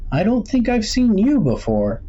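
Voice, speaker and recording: A middle-aged male shopkeeper from an eerie storybook, as voiced by a male narrator, welcoming and cryptic. His measured speech swings widely in pitch, with an unsettling pleasantness. The recording is dull, with faint noise behind it. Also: wildly swooping pitch, dark tone. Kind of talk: storybook character voice in suspense tale.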